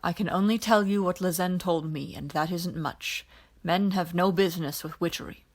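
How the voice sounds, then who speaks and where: A woman voicing a weary, dryly teasing alien man in an audiobook, with a measured, quiet delivery. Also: on the low side, natural voice. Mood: quiet, solemn. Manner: dry, measured, quiet explanations.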